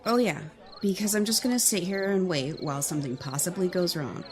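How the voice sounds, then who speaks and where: A man's voice: high-pitched, a little fast, close to an everyday voice. A man voicing an earnest, protective young lord who speaks directly. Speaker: lead character of an audio drama. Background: music score.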